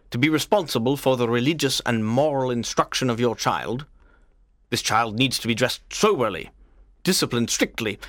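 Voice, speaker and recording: A man's voice: mid-range voice, bright, forceful tone, no echo, studio quality. Stern, formal governor voiced by a man. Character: stern, judgmental governor, a male authority figure. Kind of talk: audiobook character voice.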